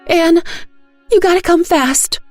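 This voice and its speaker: A woman performs a distraught woman in an audio drama, her voice pushed high and loud. She pleads through tears, sounding desperate and close to breaking down, over faint music. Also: slow. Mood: panicked, tearful. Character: Gloria, distraught sobbing woman pleading in despair.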